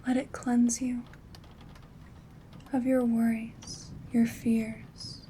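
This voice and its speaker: A woman playing a gentle, affectionate witch in a soft-spoken, whispered ASMR roleplay, soothing and tender with a breathy hush. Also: fairly high pitch. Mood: calm, soothing. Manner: soothing, slow, hushed, formal and tender wording. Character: gentle, affectionate female witch and caring friend. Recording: steady background rain ambience noise.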